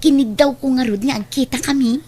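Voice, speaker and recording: A woman's voice: high voice, a little fast, mellow, breathy edge. A woman with a Filipino Ilocano accent playing a bold, scheming young woman in an audio drama, with noise behind her. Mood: giddy. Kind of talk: Ilocano radio drama acting.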